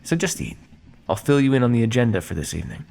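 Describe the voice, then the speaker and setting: A man's voice: medium pitch, big pitch swings, quick pace, gravelly, a little mellow. A man playing a smug, teasing vampire scientist captor in an ASMR roleplay, speaking with a theatrical, drawling, mock-polite and sardonic manner. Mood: teasing, wry. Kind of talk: ASMR roleplay monologue addressed to listener.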